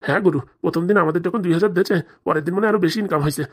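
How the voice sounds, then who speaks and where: A woman does a crooked boss's henchman in a comic cartoon, lowish in pitch and extremely quick. He is deferential yet defensive, forever making excuses. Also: breathy edge. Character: servile, defensive male henchman of a crooked boss.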